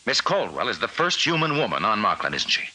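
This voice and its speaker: A knowing, scheming trader in 1950s radio drama. His voice is fairly low yet very sharp and forceful, with animated swings and a clipped, confiding delivery. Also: brisk, full character voice, low-quality recording. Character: shrewd, knowing male trading post man.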